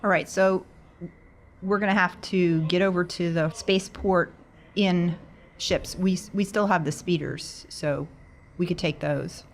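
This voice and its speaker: A woman's voice: fairly low pitch, fairly even intonation, a little slow, slight background noise. A woman playing a tabletop game, speaking casually in character.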